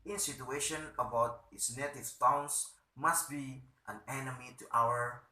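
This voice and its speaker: A man playing a stern, threatening, pompous town official in a play, formal, scolding and halting. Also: medium pitch, fairly even intonation, pushed and bright, reverberant.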